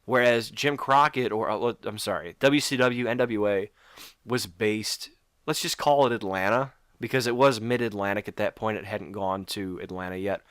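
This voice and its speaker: A man's voice: lowish voice, moderate pace, a bit bright, clear, resonant tone, dry recording, clean studio sound. A man co-hosting a casual podcast, coming down with a cold and sounding sniffly, rambling and correcting himself as he goes. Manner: rambling, self-correcting, stops to apologize. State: getting sick, sniffly. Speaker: podcast co-host. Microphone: podcast microphone.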